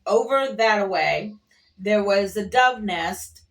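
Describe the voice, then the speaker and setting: Slow-talking, folksy woman, slightly distant mic. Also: mid-range voice. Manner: chatty, meandering, folksy, playful. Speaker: vlogger. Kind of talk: casual rambling storytelling to camera. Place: at an airport workplace.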